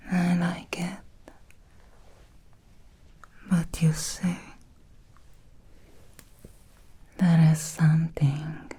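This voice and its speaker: Female Victorian vampire woman acting, ASMR roleplay: hushed, very breathy, glacially slow, obsessed and menacingly tender. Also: low-pitched, full character voice. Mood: seductive, intimate. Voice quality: breathy soft whisper. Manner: slow, deliberate, intimate, teasing with drawn-out pauses.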